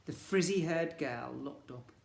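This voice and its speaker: A man reading a children's story as a teacher would, with dramatic, expressive narration. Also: fairly high pitch, measured pace, creaky. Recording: echoey room.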